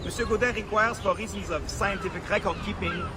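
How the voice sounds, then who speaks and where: An actor playing a fussy, awkward colonial envoy, speaking formally and hesitantly in a video game drama. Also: male voice, sits high, a little fast, noisy background, natural voice. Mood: officious. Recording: loud outdoor ambience with birds.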